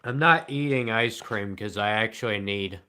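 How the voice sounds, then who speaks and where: Deadpan monotone male streamer. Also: lowish voice, smooth. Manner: long pauses between remarks. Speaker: live streamer. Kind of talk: casual live-stream chat answering comments.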